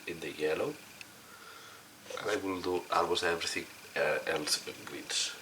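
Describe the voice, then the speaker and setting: A man with a non-native accent in English, hoarse from a cold, giving halting hands-on instruction as a tutorial host. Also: deep voice. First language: not English. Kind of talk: instructional commentary while demonstrating. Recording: clearly noisy recording.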